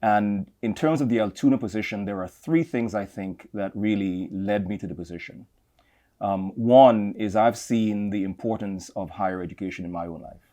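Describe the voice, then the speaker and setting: A middle-aged man with a Trinidadian accent, speaking as an interview guest in a measured, reflective way, often repeating words as he gathers his thoughts. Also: on the low side, warm tone. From Trinidad and Tobago. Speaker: campus leader, guest. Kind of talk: interview conversation.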